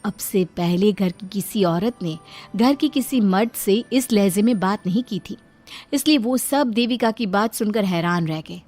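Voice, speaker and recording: A woman's voice: medium pitch, measured pace, darkish tone, soft background music. A woman narrating a scripted dramatic moral story.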